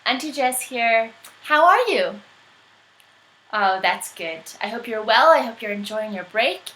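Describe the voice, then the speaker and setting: A woman's voice: fairly high pitch, animated, unhurried, pushed and bright, reverberant, rough recording. A woman who reads storybooks to children, greeting her young listeners directly in simple, friendly phrases.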